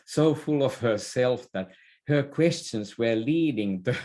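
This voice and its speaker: A man with a very mellow, relaxed voice at an ordinary male pitch, talking as an expert guest on a podcast. He explains things conversationally, feeling his way through sentences with repeated words. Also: measured pace. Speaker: interview guest, leadership expert.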